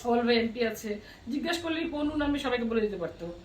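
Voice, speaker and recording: High-voiced Bangladeshi woman in echoey room. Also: expressive, fast, slight background noise. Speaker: guest. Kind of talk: casual recounting. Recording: echoey room with people chattering.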